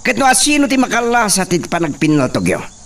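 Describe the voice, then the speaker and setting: An actor with a northern Philippine accent playing an imperious, mocking young hacienda master in a radio drama, commanding and taunting. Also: male voice, high voice, huge pitch swings, a little fast, fairly bright tone, recorded from a distance, heavily acted. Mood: angry, taunting.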